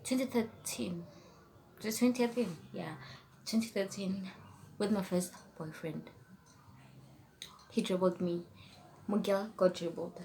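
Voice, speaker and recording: A woman's voice: medium pitch, a little slow, long pauses, mellow. Casting: young Setswana-speaking woman from South Africa, chatty YouTuber, faint hiss behind. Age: young adult. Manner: chatty, rambling, trails off into whispers while reading questions. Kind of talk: casual tag video chat.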